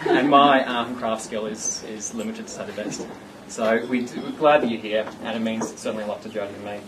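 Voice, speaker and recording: A man's voice: fairly low pitch, fairly even intonation, a little fast, noisy background. A man giving a rambling, self-mocking speech at his engagement party. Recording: distant mic with loud party ambience.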